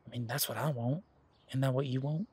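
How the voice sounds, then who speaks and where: Husky-voiced earnest werewolf boyfriend, played by a man. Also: mid-range voice, fairly even intonation, a little mellow. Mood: earnest, hopeful. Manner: casual, halting, conversational with trailing pauses. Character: male werewolf boyfriend, earnest, hopeful, teasing former bodyguard. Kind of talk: intimate roleplay audio drama monologue.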